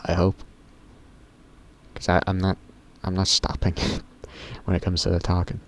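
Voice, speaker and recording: A teenage boy with a very deep voice, rambling casually to camera in a vlog, often stopping himself mid-sentence and swearing offhandedly. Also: monotone, a little slow, slight background noise. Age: teens. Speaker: YouTuber.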